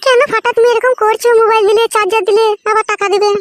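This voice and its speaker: A woman playing a coy, easily flattered village sister-in-law in a comedy cartoon. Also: extremely high pitch, rapid-fire, no room sound. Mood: coy, agreeable.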